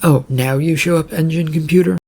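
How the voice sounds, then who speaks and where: Male narrator as stubborn woman ship engineer in audio fiction, creaky, jumpy and grumbling. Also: fairly high pitch, fairly even intonation, fairly quick, darkish tone. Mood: exasperated. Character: female ship's engineer, jumpy, exasperated, stubborn.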